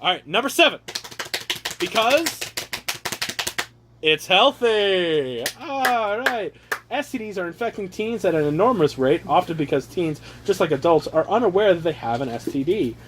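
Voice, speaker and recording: Sarcastic higher-pitched man, slightly noisy recording. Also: normal speed. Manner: sarcastic, profane, animated. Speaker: reader riffing on a handbook aloud to his brothers. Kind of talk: casual comedic reading with mocking asides. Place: casual room with brothers chiming in.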